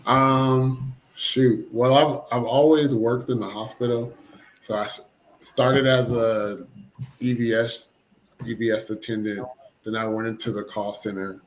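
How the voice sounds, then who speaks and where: A man's voice: mid-range voice, level tone, measured pace, rough recording. A man who is a new nurse on a panel, talking casually with fillers.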